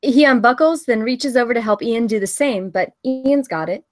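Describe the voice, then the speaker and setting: Higher-voiced woman reading stage directions. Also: moderate pace. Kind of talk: scripted narration at a table read.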